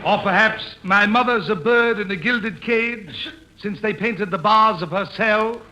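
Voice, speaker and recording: An actor playing a pompous, hammy poet who declaims grandly in a 1940s radio comedy. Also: male voice, high-pitched, measured pace, a loud crowd. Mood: declaiming. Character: pompous hammy poet reciting corny verse. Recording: noisy 1940s radio broadcast.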